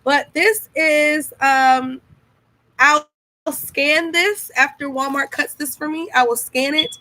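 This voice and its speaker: A woman's voice: sits high, fairly even intonation, slow pace, bright, forceful tone, no room sound, rough recording. A young woman chatting enthusiastically to viewers in a home vlog, recorded close up on a built-in webcam mic. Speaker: vlogger. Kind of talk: casual diet vlog, showing food to camera. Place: small room at home, indoors. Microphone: built-in camera mic, webcam at close range.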